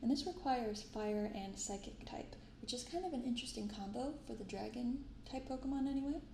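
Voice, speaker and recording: Soft-spoken woman with creaky voice, roomy sound. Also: fairly high pitch, a little fast. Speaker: host of a card unboxing channel. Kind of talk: soft-spoken ASMR unboxing narration. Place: indoors in an apartment room. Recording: roomy untreated apartment, clear background noise.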